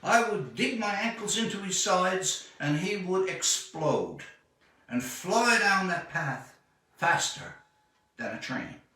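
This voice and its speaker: A man reads a short story in a fairly high, bright voice that rises and falls dramatically. He takes his time, leaning on phrases with deliberate pauses. The sound is roomy and echoing. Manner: measured, deliberate phrasing with expressive emphasis. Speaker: author reading own short story. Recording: echoey room, distant microphone.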